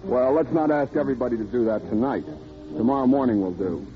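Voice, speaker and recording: A man's voice: mid-range voice, animated, fast. A man hosting a radio show in 1930s announcing style, delivering scripted sponsor patter. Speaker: host and sponsor pitchman. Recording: dull old radio recording with music.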